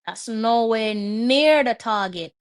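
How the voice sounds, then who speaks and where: A woman's voice: fairly high pitch, lively intonation, slow pace, no echo, clean studio sound. Chatty woman hosting a watch-along. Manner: chatty, muses aloud while reading up on players. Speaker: host. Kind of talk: live watch-along commentary.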